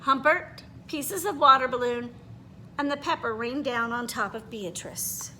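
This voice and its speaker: A woman reading a children's picture book aloud in an expressive, animated way, pausing to put questions to her listeners. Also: sits high, slight background noise. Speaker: read-aloud storyteller.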